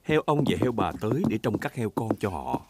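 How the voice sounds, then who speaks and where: A man's voice: fairly low pitch, big pitch swings, brisk, heavy background noise. A man reading scripted narration for a children's cartoon as its narrator. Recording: loud music behind voice.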